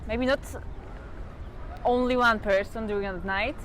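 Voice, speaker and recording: Woman from poland, very slow, halting, searching for words. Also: a little high, steady tone, fairly bright tone, a little hiss or hum. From Warsaw, Poland. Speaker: passer-by interviewee.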